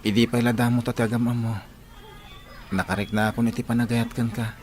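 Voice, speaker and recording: Guy doing an earnest young ilocano suitor, radio drama, medium pitch, faint outdoor noise. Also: little pitch movement, fairly quick, lightly acted. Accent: Ilocano Filipino. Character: earnest young Filipino suitor devoted to his friend. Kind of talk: audio drama dialogue.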